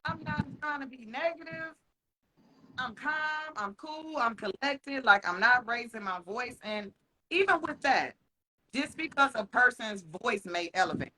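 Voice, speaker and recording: Frank, profane podcast co-host with a bright voice. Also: male voice, very high voice, expressive, rough recording. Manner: frank and profane, laughs often, slows down to stress points. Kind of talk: casual podcast chat.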